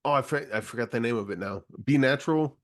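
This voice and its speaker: A man's voice: mid-range voice, fast, no room sound, studio quality. A man co-hosting a movie podcast over a video call, talking in a hesitant, casual way with many restarts. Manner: hesitant, lots of fillers and restarts. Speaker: podcast co-host.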